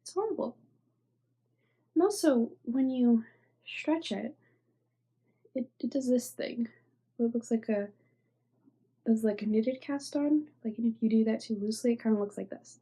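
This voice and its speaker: Young woman, knitting podcast host, stuffy with a cold; husky, mellow, rambling, fades to near-whispers. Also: on the high side, unhurried, long pauses. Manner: rambling, filler-heavy, trailing off into soft near-whispers. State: stuffy, congested. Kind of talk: casual show-and-tell chat.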